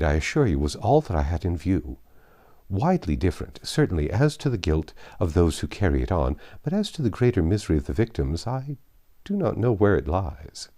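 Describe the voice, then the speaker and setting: A man voicing a reserved, polite young woman in a classic novel reading, formal and quietly firm. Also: deep voice.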